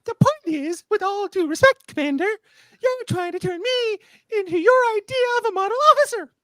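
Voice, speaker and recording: A woman's voice: extremely high pitch, lively intonation, moderate pace, a bit bright, dry recording. A woman playing a stubborn, outspoken young ensign in an amateur radio drama, argumentative and emphatic. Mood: defiant. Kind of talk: amateur radio theatre reenactment.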